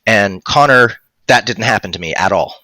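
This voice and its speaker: A man's voice: fairly low pitch, moderate pace, sharp tone, no room sound. A man in his thirties chatting casually on a live stream as a guest voice actor, talking into a headset mic at home. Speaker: guest, audiobook narrator and voice actor. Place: home room, on a video call.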